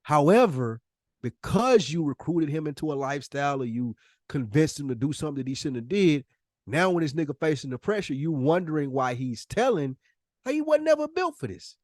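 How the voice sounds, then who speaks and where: A man's voice: on the high side, sing-song, brisk, a little mellow, no echo, studio quality. A man co-hosting a podcast, talking casually with plenty of slang in a blunt, questioning manner. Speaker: podcast co-host.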